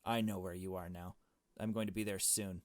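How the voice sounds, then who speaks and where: A man's voice: sits low, fairly even intonation, a little fast, no room sound, studio quality, close to an everyday voice. A man voicing a brash, foul-mouthed, take-charge young woman in a visual novel, talking bluntly and in slang. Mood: confident.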